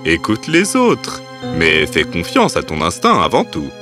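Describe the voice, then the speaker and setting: A kind, wise uncle from an animated fairy tale , acted by a man. His low voice rises and falls dramatically as he gently instructs, with soft music underneath. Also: a little slow, full character voice. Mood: calm, encouraging.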